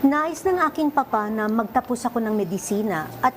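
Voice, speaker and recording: A woman's voice: fairly high pitch, animated, a little fast, faint music behind, close to an everyday voice. A woman playing an earnest, pleading sixteen-year-old girl who longs to dance ballet, in a radio drama.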